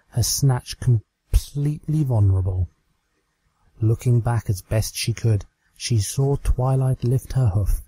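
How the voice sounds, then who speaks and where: A man narrates a scripted story at a slow pace. His voice is mid-pitched and dark in tone. The sound is dry and close. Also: breathy edge, no room sound, low-quality recording. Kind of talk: scripted fan fiction narration.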